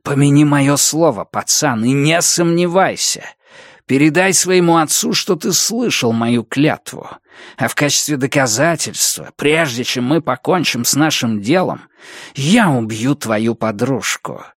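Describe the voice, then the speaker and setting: A man voicing a small, vengeful, cursed fanatic in an audiobook story, with slow, drawn-out threats. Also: mid-range voice, lively intonation, no echo, clean studio sound. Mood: menacing, ranting.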